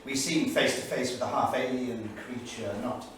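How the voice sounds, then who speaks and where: An erudite, uneasy English don of the 1930s, acted by a man in a staged reading, speaks with literary deliberation and dry irony. The voice sits at an average male pitch, heard from a distance in a very reverberant theatre with faint noise. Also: fairly even intonation, moderate pace, lightly acted. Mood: reflective. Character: erudite, anxious English Cambridge don keeping a 1938 journal. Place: small studio theatre, live staged reading.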